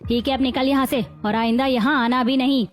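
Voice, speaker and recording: Villainous middle-aged mother-in-law for a moral story, female actor: high voice, commanding, cruel, scheming. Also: steady tone, brisk, faint music behind, natural voice. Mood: menacing. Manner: menacing, commanding.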